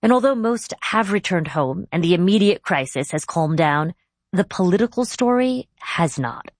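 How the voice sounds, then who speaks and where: A woman's voice: lowish voice, expressive, moderate pace, no room sound. A woman hosting a news podcast, moving between scripted narration and interview questions. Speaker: podcast host.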